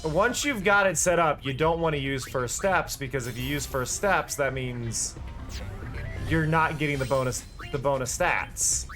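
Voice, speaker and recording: Casual streamer, tired voice, rambling strategy talk over clear game music. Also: male voice, on the high side, measured pace, a bit bright. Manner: chatty, filler-heavy explaining. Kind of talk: casual gameplay commentary.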